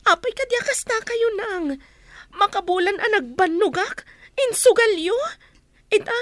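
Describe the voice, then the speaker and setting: A woman's voice: very high voice, moderate pace, fairly bright tone, dry recording. A woman with an Ilocano accent playing a reproachful, exasperated working elder daughter in a comedy radio drama.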